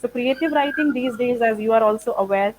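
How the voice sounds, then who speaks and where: A woman whose first language is Punjabi, from India, answering interview questions as an institute founder. She speaks thoughtfully and fills her pauses with "like" and "uh". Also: a little high, darkish tone, a little hiss or hum, low-quality recording. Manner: thoughtful, many "like" and "uh" fillers. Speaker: founder of an English training institute.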